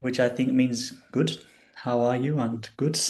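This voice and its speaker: A man with an English accent, a guest teacher speaking politely and calmly to students on a video call. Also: medium pitch. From England. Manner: polite, measured, reassuring. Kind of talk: live online class conversation.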